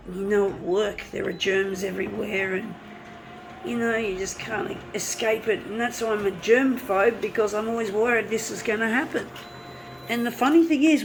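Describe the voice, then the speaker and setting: A woman, hoarse from a flu with a blocked nose, chatting and swearing freely as she works. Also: medium pitch, slight background noise, low-quality recording. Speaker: artist. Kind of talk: casual talk while working.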